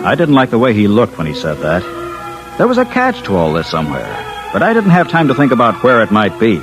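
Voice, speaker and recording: A man's voice: lowish voice, wildly swooping pitch, a little fast, low-quality recording. An actor playing a wry, wary engineer who narrates his own adventure in an old-time radio drama. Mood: wry narration. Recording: vintage radio with music underneath.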